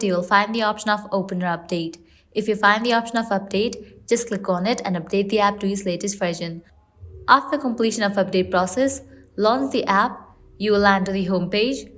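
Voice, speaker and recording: A woman narrates a how-to tutorial at a medium pitch. She delivers scripted instructions in short, measured phrases, guiding the listener step by step. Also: a bit bright.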